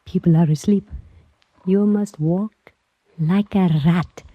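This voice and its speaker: An actress playing a mysterious foreign woman in an old radio adventure, bold and flattering, speaking in formal, exotic phrases. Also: on the low side, slow, no echo. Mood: tense, watchful. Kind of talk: 1940s-50s radio adventure acting. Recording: muffled old-time radio recording.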